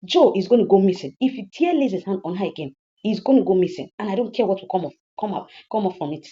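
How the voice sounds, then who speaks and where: A woman's voice: medium pitch, lively intonation, fast talker, dark tone, highs cut off, lightly acted. A woman voicing a fiercely protective, defiant grown son in a story, emphatic and repeating threats. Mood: angry, threatening.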